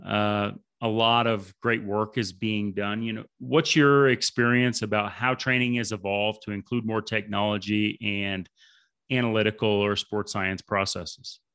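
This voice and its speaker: A man's voice: fairly low pitch, little pitch movement, measured pace, a bit bright, clear, resonant tone, no room sound, studio quality. A man hosting an interview, asking long, rambling questions full of fillers. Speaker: podcast interviewer.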